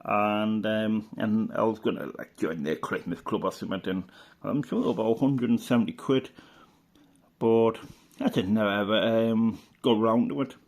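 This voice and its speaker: A middle-aged man from the UK, a radio hobbyist chatting casually through an old catalogue, rambling and unhurried with lots of fillers and restarts. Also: on the low side, a little mellow. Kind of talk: casual reminiscing commentary.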